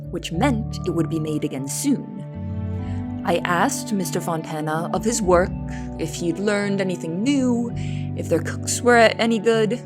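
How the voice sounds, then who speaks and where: A woman's voice: lowish voice, natural voice. A woman playing an earnest young cook in an audio drama, narrating in formal, literary wording. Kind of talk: audio drama first-person narration and dialogue.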